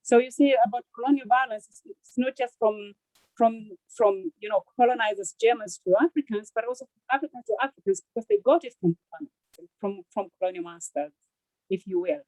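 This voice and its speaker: Earnest woman, high dry voice. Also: mellow, no room sound, rough recording. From Tanzania. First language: Kiswahili. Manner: earnest, halting, repeats words. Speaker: audience member invited to speak. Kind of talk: unscripted remarks on a video call.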